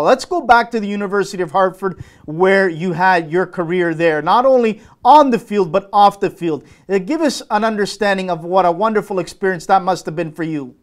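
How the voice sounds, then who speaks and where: A man hosting a sports talk show, asking long, emphatic questions of his guest. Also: sits high. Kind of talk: enthusiastic interview questions.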